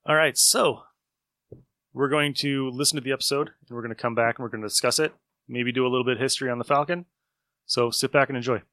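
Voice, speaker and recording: A man's voice: medium pitch, fairly even intonation, fast talker, bright, forceful tone, dry recording. A man hosting a podcast, introducing the show in a casual style. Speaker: podcast host.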